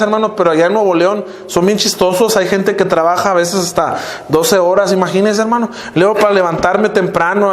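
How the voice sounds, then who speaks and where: An older man with a Mexican accent preaching a sermon as minister, often calling out to the brothers and letting his voice fall at the end of phrases. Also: reverberant. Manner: preaching, often addressing the congregation as brothers, pitch dropping at phrase ends. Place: church hall.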